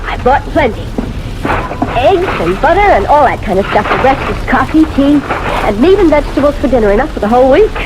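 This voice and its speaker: Domineering schemer, condescending and commanding, highish animated voice, vintage radio drama style. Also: female voice, a little fast, music in the background, rough recording. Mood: breezy, sly. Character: domineering, sly, bossy woman intruder, smugly cruel. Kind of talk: old-time radio drama acting. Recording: old radio drama recording.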